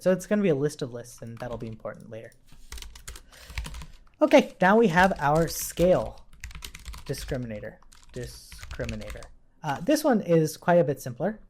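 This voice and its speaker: A man's voice: fairly high pitch, a little mellow. A man giving an informal coding tutorial as an instructor, thinking aloud while he types and often checking in with a questioning tag. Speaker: programming instructor.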